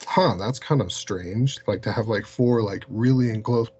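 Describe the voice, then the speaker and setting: A man's voice: on the low side, normal speed, darkish tone. A man who is an interview guest, telling anecdotes casually in a rambling way and saying "like" very often. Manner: rambling, very frequent "like". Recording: muffled lo-fi audio, hum and hiss.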